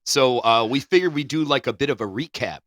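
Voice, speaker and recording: A man's voice: mid-range voice, expressive, fairly quick, a bit bright, no room sound, studio quality. A man co-hosting a podcast, bantering casually in an easygoing, agreeable way. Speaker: podcast co-host.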